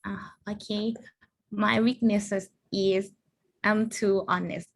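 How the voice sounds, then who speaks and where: A young woman university student answering mock job interview questions in English, earnest and slightly halting, over a video call. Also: mid-range voice, steady tone, gravelly. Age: young adult.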